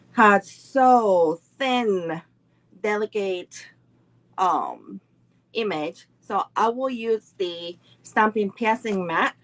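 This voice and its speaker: Woman, middle-aged, card-making instructor, very slow unhurried delivery, chatty live-class teaching. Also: mid-range voice, poor audio. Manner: slow, unhurried, greets viewers and chats between steps. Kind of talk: live online craft class.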